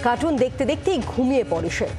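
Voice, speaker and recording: A woman's voice: high voice, big pitch swings, quick pace. A woman anchoring the news, reading reports and questioning a reporter. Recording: music behind the voice. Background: news music bed.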